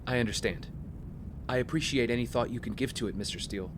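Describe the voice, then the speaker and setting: An actor playing a wealthy young heir, polite, smooth-talking and guarded, in audio drama dialogue. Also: male voice, mid-range voice, fast, noisy background, lightly acted. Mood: earnest, persuasive.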